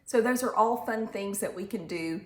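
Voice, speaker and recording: Expressive woman storyteller in a roomy space. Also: mid-range voice. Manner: animated, simple teacherly phrasing with rhetorical questions and drawn-out emphasis. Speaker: children's storytime reader and teacher. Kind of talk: expressive picture-book read-aloud with questions to young children. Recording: roomy echo with faint background noise.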